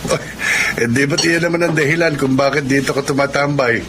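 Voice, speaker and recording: An actor playing a good-humoured middle-aged storekeeper uncle in a radio drama, with an easygoing manner. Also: male voice, mid-range voice, fairly quick, close to an everyday voice. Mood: teasing, friendly. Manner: easygoing, avuncular. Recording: noisy ambience with vehicles.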